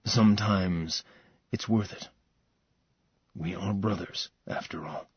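A solemn, world-weary dragon-shifter from a fantasy storybook, voiced by a man reading aloud. He speaks low and measured, his words sinking toward a hush. The husky voice sits in a dry, slightly dull recording. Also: no room sound, exaggerated voice. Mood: tender.

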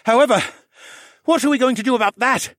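A man's voice: very high voice, fast, a bit bright, no room sound, studio quality, pitched above the performer's own voice. A man voicing a dutiful, practical falcon man in a fantasy audiobook, speaking matter-of-factly. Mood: winded, wary.

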